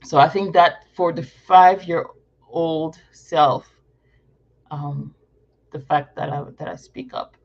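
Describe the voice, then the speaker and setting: A woman's voice: fairly low pitch, muffled recording. A woman in her thirties, from Morocco, answering viewer questions in a casual live Q&A, thinking aloud, repeating herself and correcting as she goes. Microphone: live-stream setup.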